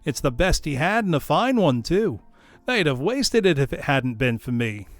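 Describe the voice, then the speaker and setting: A man's voice: on the high side, very animated, moderate pace, no echo, faint music behind. A man voicing a brazen, scornful charwoman in a storybook reading, coarse, gloating and emphatic. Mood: brazen, self-satisfied. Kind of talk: storybook character voice.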